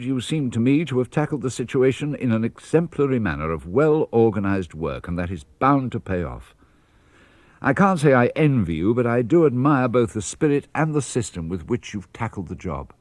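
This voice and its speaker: A man reading personal letters aloud for a radio broadcast, in a measured, earnest, fatherly manner. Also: fairly low pitch, darkish tone, no room sound. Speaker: reader of letters. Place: radio studio.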